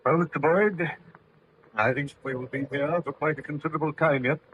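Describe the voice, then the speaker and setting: An actor playing a steady, responsible sea captain in an old radio drama, formal, courteous and commanding. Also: male voice, fairly high pitch, fairly quick, natural voice. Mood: firm, reassuring. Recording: muffled, noisy old radio recording.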